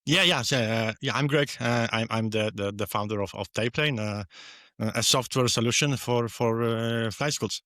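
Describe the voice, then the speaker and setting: A middle-aged man from Poland with a Polish accent, answering casually as an interview guest, often repeating words haltingly. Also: mid-range voice, sharp tone, no room sound, studio quality. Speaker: podcast guest, software founder.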